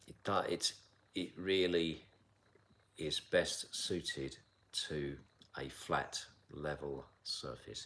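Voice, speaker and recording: Very deep-voiced calm older Englishman. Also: a little hiss or hum. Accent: English accent softened by long years in Asia. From south London, England. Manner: calm, measured, unhurried with frequent pauses. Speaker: kung fu teacher. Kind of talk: live-stream chat answering viewer questions. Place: outdoor mountain training area.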